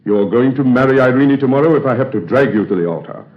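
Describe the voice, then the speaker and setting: A man's voice: mid-range voice, expressive, quick pace, natural voice. A man playing a firm, scolding older friend. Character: brisk, patronizing older male friend. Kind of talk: 1930s radio drama acting. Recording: dull roomy 1930s radio recording.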